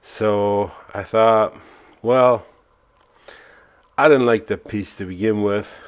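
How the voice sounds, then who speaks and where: A man from Argentina, narrating his woodturning work in a relaxed, self-mocking voice-over with many fillers. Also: on the low side, takes it slowly. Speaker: woodturning channel host.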